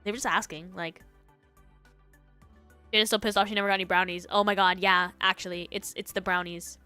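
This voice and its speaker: A woman hosting a livestream, chatting casually and reacting to online stories in a giggly, animated way. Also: medium pitch, a little fast, smooth, faint music behind. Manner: giggly, animated, breaking into laughter. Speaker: livestream host.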